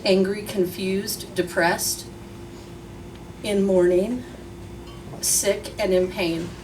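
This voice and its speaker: A woman reading a letter aloud at a memorial gathering, giving short listed phrases with pauses between them. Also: medium pitch. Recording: distant mic, noisy crowded venue.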